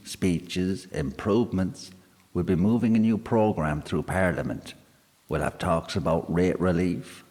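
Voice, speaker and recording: A man's voice: sits low, darkish tone. A man voicing a pompous forties politician. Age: 40s. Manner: pompous, boastful, self-satisfied. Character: proud middle-aged male politician, barrister. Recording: long hall reverb.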